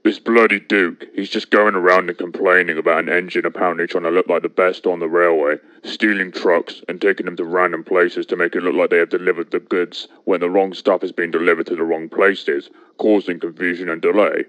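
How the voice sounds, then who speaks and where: A man voicing a surly, combative cartoon steam engine, gruff, blunt and insulting. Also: low-pitched, little pitch movement, a little fast, few pauses, a bit bright, dry recording. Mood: grumbling. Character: surly, foul-mouthed male steam engine, younger, combative. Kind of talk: fan animated game cutscene acting.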